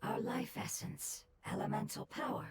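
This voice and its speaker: A woman voicing a gentle, wistful, mushroom-like spirit in a video game, soft, airy and earnest. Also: mid-range voice, animated, whispered, close to an everyday voice. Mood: solemn, melancholy. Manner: slow-thinking, earnest, solemn. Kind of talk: video game character acting.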